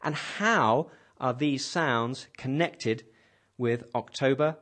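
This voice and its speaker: A man's voice: medium pitch, slow, fairly bright tone. A man hosting a radio English lesson, patiently asking a class questions and repeating their answers back to them. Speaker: host leading an English class.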